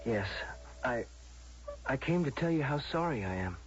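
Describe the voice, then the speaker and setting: An actor playing an earnest, apologetic, tender suitor, speaking gently and imploringly in an old-time radio drama. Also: male voice, mid-range voice, lively intonation, normal speed, natural voice. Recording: dull, humming, noisy old radio recording.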